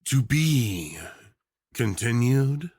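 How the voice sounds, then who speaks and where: A man's voice: medium pitch, very animated, extremely slow, darkish tone, slightly rough, no room sound, studio quality. A man delivering scripted narration and channel talk as the creator of an audio roleplay.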